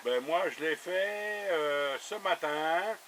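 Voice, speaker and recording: A man's voice: fairly high pitch, slow, very noisy. A man chatting casually as an amateur radio operator, in a colloquial, easygoing way. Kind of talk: casual radio contact chat. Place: radio station with a camera running.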